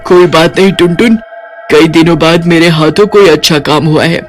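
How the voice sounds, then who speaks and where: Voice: mid-range voice, moderate pace, faint music behind, lightly acted. A performer voicing a hungry, blunt, boastful but secretly kind-hearted cartoon witch with brash, colloquial delivery. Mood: remorseful, caring. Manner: brash, taunting, colloquial scolding. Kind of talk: Hindi cartoon character voice.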